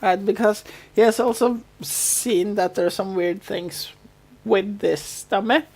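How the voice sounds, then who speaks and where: A woman's voice: medium pitch, a little slow, a little mellow. A woman co-hosting a podcast, casually recapping a show and acting out bits of dialogue as she retells it. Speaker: podcast co-host.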